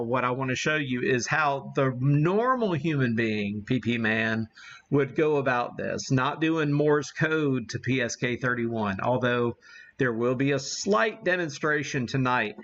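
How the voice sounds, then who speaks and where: A man's voice: medium pitch, expressive, a little slow, fairly bright tone, highs cut off. A man hosting a ham radio livestream, chatting casually in a rambling, self-deprecating way as he demonstrates. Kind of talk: casual live-stream chat and demonstration.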